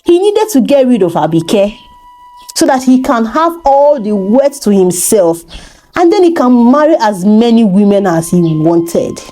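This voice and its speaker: A woman's voice: a little high, very animated, unhurried, dark tone. A woman narrating a folktale as a storyteller, reading from a script.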